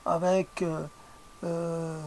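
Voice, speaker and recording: Slow, gravelly non-native French speaker. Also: medium pitch, level tone, a little mellow, slight background noise. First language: not French. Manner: hesitant, many fillers and restarts, searching for words. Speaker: speaker on language and words. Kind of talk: reflective spoken musing.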